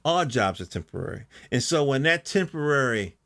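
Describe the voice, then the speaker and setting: A man's voice: medium pitch, very animated, normal speed, a bit bright, dry recording. A middle-aged American man hosting a podcast, talking casually in a rambling, colloquial monologue and often checking in with the listener. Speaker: podcast host.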